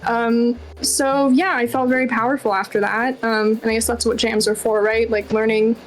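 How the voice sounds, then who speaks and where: A woman's voice: on the high side, steady tone, normal speed, soft background music, low-quality recording. A woman being interviewed as a game developer, talking casually and informally in a chatty, self-deprecating way. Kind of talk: casual Q&A chat.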